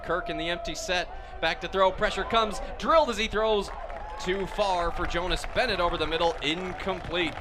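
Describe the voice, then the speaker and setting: High-pitched American man calling football on radio. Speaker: radio play-by-play announcer. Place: outdoor football game, live radio broadcast. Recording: loud outdoor stadium noise behind voice.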